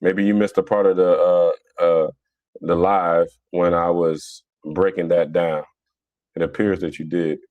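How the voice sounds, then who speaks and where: A man with a Philadelphia accent and a fairly low voice, recorded dry and close. He talks off the cuff as a livestream host, in a blunt, slangy, mocking way. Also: little pitch movement, measured pace, no room sound, phone-quality sound. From Philadelphia, United States. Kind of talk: casual live religious debate, answering viewer comments.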